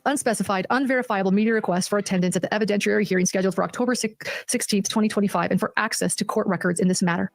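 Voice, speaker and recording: Fast-talking, aggrieved woman reading aloud. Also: medium pitch, steady tone, vocal fry, faint music behind. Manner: aggrieved, emphatic, self-justifying. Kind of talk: reading documents aloud with indignant commentary on a livestream.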